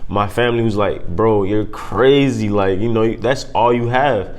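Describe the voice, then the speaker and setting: Young man from Puerto Rico, low-pitched, relaxed, conversational guest, says "bro" a lot. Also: a little slow. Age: young adult. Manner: laid-back, says "man" and "bro" often. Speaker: guest, business owner. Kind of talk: casual interview chat.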